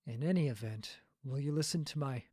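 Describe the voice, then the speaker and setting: A man's voice: mid-range voice, a little fast, very noisy, close to an everyday voice. An actor playing an earnest, determined young man in a fantasy audio drama, speaking in a formal, dramatic manner. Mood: guarded. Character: earnest, determined young man searching for his stolen love.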